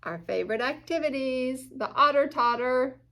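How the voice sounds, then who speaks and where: A woman's voice: sits high, normal speed, a bit bright. A woman reading a children's picture book aloud, expressively, with chatty asides and questions to her listeners. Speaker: storytime reader at a church.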